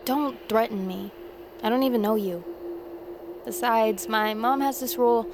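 High-voiced, guarded teenage girl. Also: unhurried, background noise. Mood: wary. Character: wary, guarded teenage girl, easily spooked. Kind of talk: naturalistic audio drama acting.

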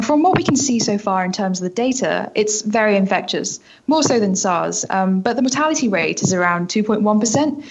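Woman, twenties, British accent, husky voice, articulate news-reporter delivery, muffled lo-fi audio. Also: mid-range voice. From United Kingdom. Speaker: news reporter, interview guest. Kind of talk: informative interview answers.

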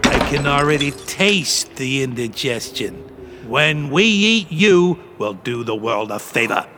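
An actor playing a hungry, sneering supernatural monster who preys on people in a theatrical audio drama. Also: male voice, medium pitch, animated, a little slow, background noise, close to an everyday voice. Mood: sneering hunger.

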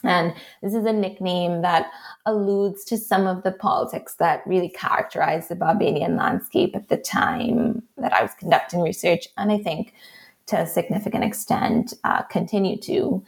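Trinidadian woman author, gravelly lowish voice, thoughtful interview answers. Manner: thoughtful, measured, frequent "right?" and "um". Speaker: author and researcher, interview guest.

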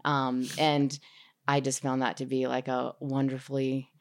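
A woman talking as a filmmaker guest in a casual panel discussion, in a halting, hedging way. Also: rumbling low, completely flat delivery, heavy background noise.

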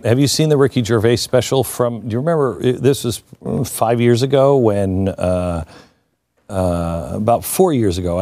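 A man hosting a conversational talk show, thinking aloud and posing questions in a halting way. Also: on the low side, darkish tone, dry recording, clean studio sound. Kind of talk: conversational discussion.

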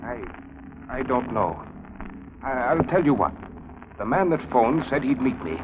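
An actor playing a reluctant middle-aged former attorney in a 1940s radio mystery, uneasy, halting and grumbling. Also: male voice, mid-range voice, big pitch swings. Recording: old 1940s radio broadcast, tinny, noisy.

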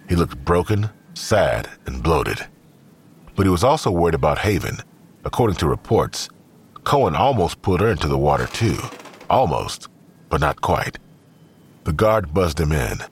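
A man with a very raspy voice reading scripted narration for an audio drama. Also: low-pitched, slight background noise.

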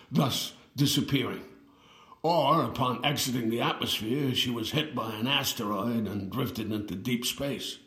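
An actor playing an aged, weary twin brother in an audio drama, speaking in a halting, wry, reflective way. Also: male voice, mid-range voice, fairly bright tone, reverberant, natural voice. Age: older. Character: aged, weary twin brother reunited with his lost sibling.

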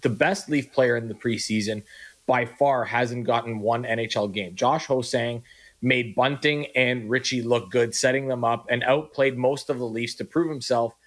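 A man co-hosts a sports radio show from the studio. His voice sits at a middle pitch. He chats casually, reads out texts from listeners and adds his own take, often stumbling over a word or two. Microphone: studio mic.

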